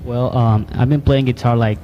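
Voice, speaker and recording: A man's voice: mid-range voice, steady tone, normal speed. A man from Guatemala, a guitarist, giving short hesitant answers. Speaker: guitarist guest. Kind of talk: casual interview. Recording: dull, slightly noisy mic.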